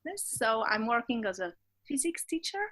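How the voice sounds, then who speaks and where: Non-native english woman on a podcast, slightly high voice, lively, keeps laughing and hunting for words. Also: brisk. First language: not English. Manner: animated and self-laughing, searching for words. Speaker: podcast guest. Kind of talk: casual conversation.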